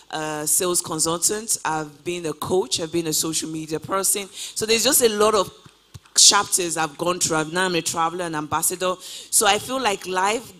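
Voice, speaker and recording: A woman's voice: on the low side, fairly bright tone. A woman in her twenties answering interview questions on a stage, upbeat and casual, full of "you know" fillers, speaking into a handheld mic in a large auditorium. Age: 20s. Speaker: guest traveler.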